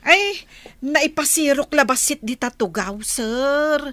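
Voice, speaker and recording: Radio drama maid: meek, flustered, stammering, squeaky-high, piercing tone. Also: female voice, animated, slow pace, fairly bright tone, no room sound, exaggerated voice. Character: flustered housemaid scolded by her boss, muttering back. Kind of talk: Ilocano radio drama acting.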